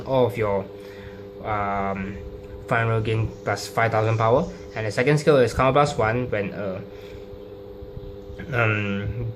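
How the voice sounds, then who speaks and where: A man casually presenting a card game deck, explaining it unscripted and card by card in a halting, hesitant way. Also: mid-range voice. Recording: clearly noisy home recording with hum.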